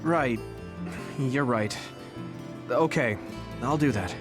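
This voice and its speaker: A man's voice: medium pitch, takes it slowly, music in the background, lightly acted. A man playing an earnest, hesitant young soldier in a video game cutscene, full of confused questions. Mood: subdued. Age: young adult.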